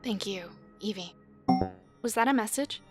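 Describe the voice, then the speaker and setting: A woman's voice: mid-range voice, lightly acted. An actress playing an emotional, determined woman who survives an apocalypse, in an audio drama. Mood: subdued. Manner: emotional, halting in grief, firm when resolved. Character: Leah, grief-stricken but determined woman survivor of an apocalypse. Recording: music behind the voice.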